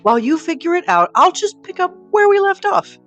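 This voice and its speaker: A woman playing an excitable, bossy radio story host in a comedic audio drama, melodramatic and exclamatory. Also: extremely high pitch, brisk, faint music behind, exaggerated voice. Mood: showy, brisk.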